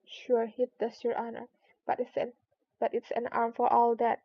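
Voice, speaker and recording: A woman's voice: fairly high pitch, completely flat delivery, normal speed, vocal fry, breathy edge. A woman voicing a meek, reluctant male servant animal in a storybook, deferential and hesitant. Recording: dull lo-fi recording.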